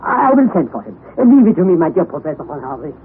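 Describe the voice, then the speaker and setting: An actor playing a formal, courteous scholar in a 1960s radio drama, speaking with polite hesitations. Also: male voice, on the high side, big pitch swings, very fast. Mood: helpful. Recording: phone-like narrow 1960s radio broadcast with faint hiss.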